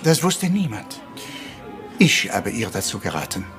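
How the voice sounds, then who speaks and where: An actor playing a proud, brooding middle-aged Belgian detective in a retro radio play, formal and courteous, with French phrases mixed in. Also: male voice, on the low side, big pitch swings, measured pace, fairly bright tone, faint music behind, full character voice. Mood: firm.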